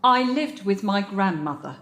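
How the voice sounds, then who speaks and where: A woman's voice: mid-range voice, lively intonation, measured pace, a bit bright, roomy sound. An actress playing a guarded, defiant woman under interrogation, terse and indignant, in an audio drama.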